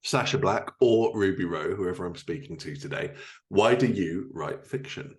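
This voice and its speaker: A man with a distinctly low-pitched voice hosts an interview podcast. He talks informally, in a casual conversational style. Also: normal speed. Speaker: podcast host.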